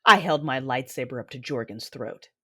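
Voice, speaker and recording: An actress playing a vengeful female Sith lord, narrating her own story in an audio drama with intense, deliberate delivery. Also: a bass voice, expressive, pushed and bright, heavily acted. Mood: controlled fury. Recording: music behind the voice.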